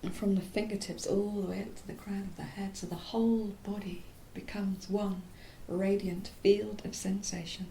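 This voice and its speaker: A woman teaching yoga nidra, leading a guided relaxation in a soft, soothing, unhurried voice that turns breathy at phrase ends and pauses mid-phrase. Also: steady tone. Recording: roomy echo, faint low rumbling noise.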